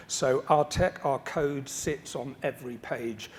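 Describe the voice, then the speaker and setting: A man with a slightly croaky voice giving a chatty, self-deprecating conference keynote, full of ums and repeated words. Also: mid-range voice, slow pace, slight background noise. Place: conference stage.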